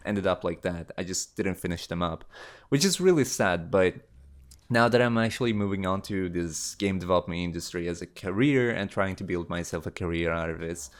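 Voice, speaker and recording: A man's voice: sits low, expressive, normal speed. A man in his late teens answering viewer questions in a casual, rambling way, with many fillers and restarts. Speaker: YouTube creator. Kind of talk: casual Q&A chat.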